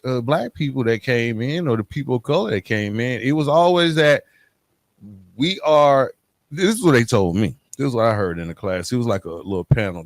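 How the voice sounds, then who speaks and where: A man's voice: medium pitch, no echo. A middle-aged man hosts a conversational podcast. He makes his points with emphasis and keeps checking that the listener follows. The sound is dry, with very little room. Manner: emphatic, punctuates points with tag questions. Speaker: podcast host.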